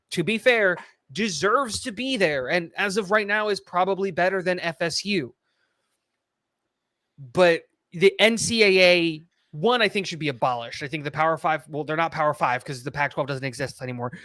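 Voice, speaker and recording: A man's voice: on the high side, pushed and bright, dry recording. A man co-hosting a sports podcast, giving firm, opinionated takes in casual talk. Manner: opinionated, argues points firmly. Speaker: podcast co-host.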